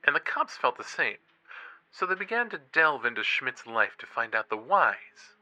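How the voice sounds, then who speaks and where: An actor playing an eager young college true-crime podcaster in a horror audio drama, a teasing, suspenseful storyteller. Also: male voice, mid-range voice, very animated, moderate pace, pushed and bright, rough recording. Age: young adult.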